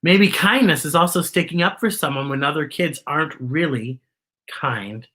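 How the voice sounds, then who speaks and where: A woman's voice: low-pitched, moderate pace, a bit bright, breathy edge. A woman hosting a children's storytime, reading a picture book aloud with chatty asides and explaining things expressively as if to young children.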